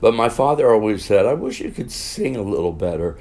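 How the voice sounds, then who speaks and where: An older man, a veteran musical performer, telling stories in an interview with a loud, animated way of talking. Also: fairly low pitch, a little fast. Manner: animated storyteller, exclaims. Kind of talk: conversational interview.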